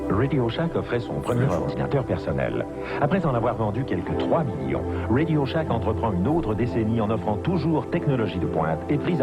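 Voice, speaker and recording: A man reading a scripted television advertisement as an announcer, from an archive commercial. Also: medium pitch. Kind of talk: scripted French TV advertisement voice-over. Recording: muffled archive TV commercial with music. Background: background music.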